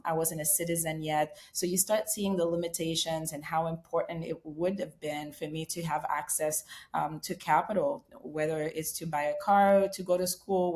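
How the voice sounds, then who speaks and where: A woman's voice: lowish voice, darkish tone. Woman founder from Haiti, reflective. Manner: reflective, many ums and restarts. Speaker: startup founder and CEO, guest. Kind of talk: casual interview conversation.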